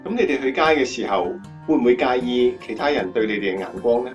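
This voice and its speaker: A man's voice: medium pitch, expressive, fast talker, distant mic, close to an everyday voice. Radio drama narrator-interviewer: male actor, earnest and presenterly, slightly off-mic over guitar music. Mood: curious.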